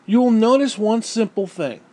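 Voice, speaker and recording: Male gamer, raised pitch, hoarse and fading voice, profane heated rant. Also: animated, moderate pace, darkish tone. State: hoarse, losing his voice. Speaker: gaming vlogger. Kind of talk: angry opinion rant.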